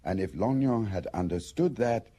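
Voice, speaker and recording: A low, husky man's voice with very lively intonation, as a detective's senior colleague in a radio crime drama. He is urbane, dry and gently teasing. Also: moderate pace. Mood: dryly amused.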